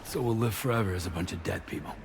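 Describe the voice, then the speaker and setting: A man's voice: sits low, quick pace, vocal fry, background noise. An actor playing a skeptical, plain-spoken man in a video game, blunt and casual, with music behind him. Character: skeptical, plain-spoken human man questioning a powerful overseer. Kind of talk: cinematic video game voice acting.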